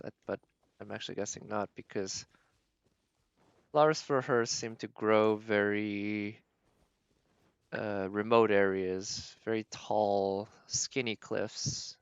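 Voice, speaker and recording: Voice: low-pitched, creaky, dry recording, highs cut off. A game livestream host giving casual live commentary, thinking aloud in a tentative, musing way.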